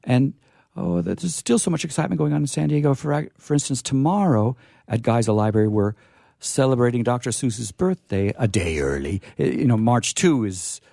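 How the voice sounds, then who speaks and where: A man's voice: medium pitch, lively intonation, moderate pace, creaky, mellow, no room sound, clean studio sound. A man hosting a radio show with chatty, rambling talk and a playfully theatrical manner.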